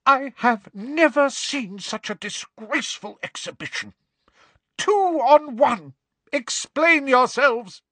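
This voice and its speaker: A strict, furious schoolmistress from a storybook, pitched far above a normal male voice. The delivery is clipped and scolding, with big swings in pitch and a close, dry sound. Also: animated, slow, a bit bright, dry recording, full character voice. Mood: livid.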